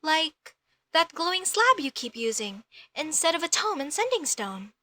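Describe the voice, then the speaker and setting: A woman playing a curious, outspoken young female elf mage in a comedic audio roleplay, questioning things in a halting, slightly haughty way. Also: sits high, sing-song, sharp tone, no echo, exaggerated voice. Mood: intrigued.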